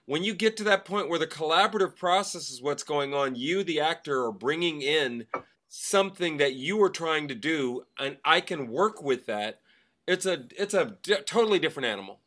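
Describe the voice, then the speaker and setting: A man's voice: fairly high pitch, lively intonation, moderate pace, a bit bright. Man explaining emphatically in conversation. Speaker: guest, theatre director and actor. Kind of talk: conversational anecdotes and opinion.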